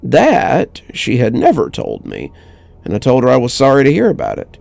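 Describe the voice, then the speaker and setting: A man reading a scripted first-person horror story in a measured, dramatic way with deliberate pauses. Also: medium pitch, wildly swooping pitch, creaky, a little mellow, no echo. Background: music under the voice.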